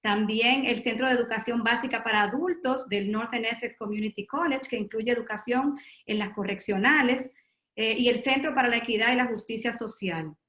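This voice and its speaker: A woman introduces a guest at a formal webinar on a video call, reading her bio with filler pauses. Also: fairly high pitch, a bit bright, roomy sound. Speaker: host and moderator of a webinar.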